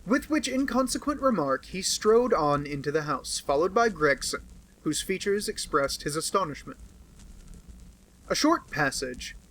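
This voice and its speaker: A man with a slightly high voice reads a classic novel aloud. He tells the story in a measured way. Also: a bit bright, low-quality recording. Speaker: audiobook reader.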